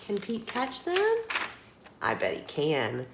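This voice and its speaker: A woman reading a children's picture book aloud as a storytime host, expressive and dramatic, putting questions to young listeners. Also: sits low, takes it slowly, a little hiss or hum.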